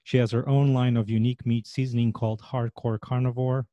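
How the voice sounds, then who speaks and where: A man's voice: mid-range voice, flat delivery, a little fast, warm tone, no echo, muffled recording. A man from Portugal with a Portuguese accent, hosting an interview podcast in a playful way with joking asides. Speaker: podcast host.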